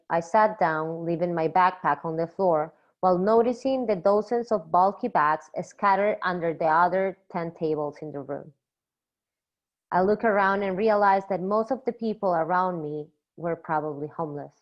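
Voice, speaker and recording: A young woman from Peru, a doctoral student, reading a measured academic poster presentation from a script. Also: lowish voice. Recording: slightly dull lo-fi recording.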